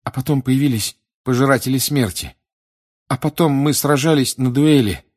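A man voicing a frightened, agitated teenage boy wizard in an audiobook, speaking in urgent, halting exclamations. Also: medium pitch, lively intonation, darkish tone, slightly rough, pitched above the performer's own voice. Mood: shaken. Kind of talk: audiobook character acting.

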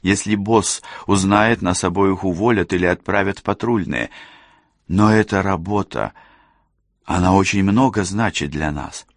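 Man voicing a humble, pleading young policewoman, creaky. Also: low voice, a little slow, no room sound, clean studio sound. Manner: hesitant, apologetic. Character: pleading young female detective, strained and humble.

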